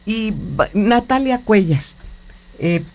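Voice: mid-range voice, expressive, takes it slowly, a little mellow. A radio host with a Mexican accent, chatting loosely on air with many fillers and restarts. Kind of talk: live radio interview. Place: radio studio booth.

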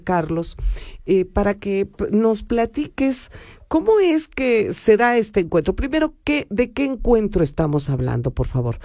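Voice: medium pitch, dark tone. A radio host with a Mexican accent interviewing guests in the studio, chatty and full of fillers.

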